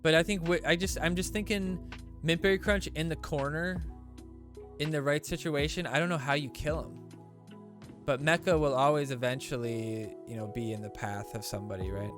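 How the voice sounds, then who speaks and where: A man's voice: a little high, fairly even intonation, moderate pace, a bit bright, faint music behind. A man hosting a gaming live stream in casual commentary, sounding stuffy and rambling with lots of fillers and restarts. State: stuffy nose.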